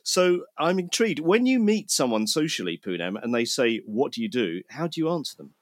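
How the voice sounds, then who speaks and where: A man's voice: medium pitch, sing-song, fairly quick, dry recording, clean studio sound. A man interviewing a guest, often repeating words and restarting phrases. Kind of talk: interview conversation.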